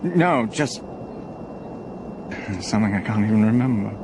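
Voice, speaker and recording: A man's voice: lowish voice, lively intonation, a little slow. A man playing a tired, polite airline passenger in an audio drama. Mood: weary, polite. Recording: noisy vehicle cabin ambience. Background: airplane cabin noise.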